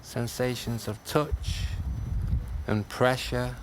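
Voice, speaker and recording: A man teaching a guided meditation, speaking gently in short phrases with long pauses. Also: mid-range voice, steady tone. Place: outdoors. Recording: outdoor class with clear background noise.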